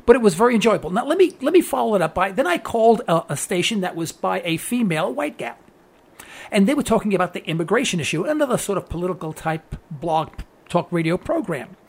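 A man's voice: high voice, sing-song, quick pace, creaky, slightly rough. A man with a New York accent hosting a political talk radio show, delivering an earnest, preachy monologue that repeats phrases for emphasis.

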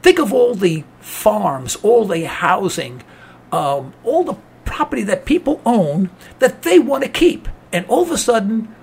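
A man's voice: sits high, very animated, unhurried, vocal fry, darkish tone, breathy edge. A man with a New York accent hosting a talk program, delivering a cynical political rant. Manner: cynical, ranting. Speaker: radio talk host.

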